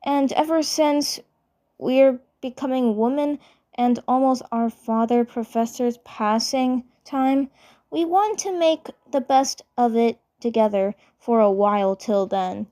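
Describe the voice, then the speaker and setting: Composed young cartoon team leader, high and unhurried, caring but gently in charge, played by a woman.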